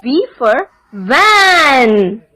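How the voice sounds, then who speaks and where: A woman narrating a children's alphabet lesson in a deliberate sing-song manner, enunciating each word. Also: high-pitched, big pitch swings, pushed and bright, slight background noise.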